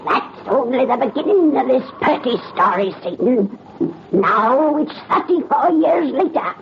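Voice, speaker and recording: Sly storytelling witch, high voice, relishing horror narration, muffled vintage radio recording. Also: lively intonation, unhurried, vocal fry, a little hiss or hum, heavily acted. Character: sly witch storyteller addressing her cat Satan.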